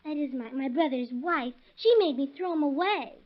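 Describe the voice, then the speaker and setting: A woman's voice: high voice, moderate pace, close to an everyday voice. An actress playing an earnest, polite little girl witness in a 1930s radio drama, on a dull old recording. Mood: earnest, timid. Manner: artless, hesitant, deferential.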